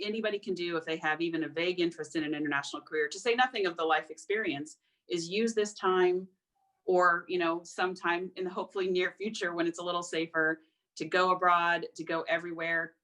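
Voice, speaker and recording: A woman with a notably low voice speaks in a measured, advisory way. She sounds like a seasoned panelist passing on guidance. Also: a bit bright, rough recording. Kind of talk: panel talk over video call.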